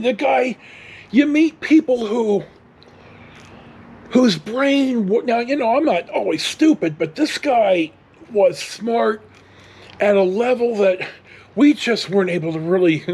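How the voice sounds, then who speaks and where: A man's voice: extremely high pitch, breathy edge. A middle-aged American man tells rambling casual stories in a blunt, salty way. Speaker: storyteller vlogger.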